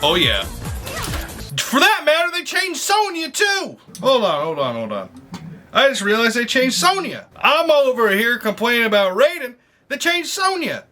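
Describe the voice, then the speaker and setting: Young dude doing casual reaction commentary, slangy and exclaiming, music playing behind him. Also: male voice, very high voice, wildly swooping pitch, normal speed. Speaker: video host reacting to and ranking movies.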